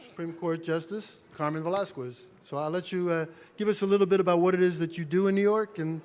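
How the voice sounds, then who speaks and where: Man chairing a panel, lots of fillers, fairly high voice, big reverberant hall. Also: fairly even intonation, a little mellow. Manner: many fillers, unhurried. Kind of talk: introducing speakers at a conference panel. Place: large conference hall.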